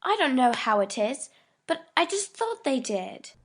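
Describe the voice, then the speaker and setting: A woman voicing an excitable small boy who insists breathlessly. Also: sits high, a little slow, pitched above the performer's own voice, exaggerated voice. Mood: excited.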